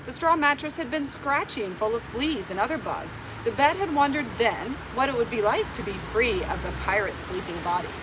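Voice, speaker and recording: A woman around forty reading a picture book aloud in a measured, expressive storytelling way. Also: fairly high pitch. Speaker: library storytime reader. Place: outdoors on a garden bench. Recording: outdoor background noise with insects.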